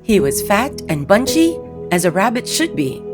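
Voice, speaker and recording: A woman narrating a scripted children's bedtime story in a gentle, measured, soothing manner. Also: medium pitch, very animated. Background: music.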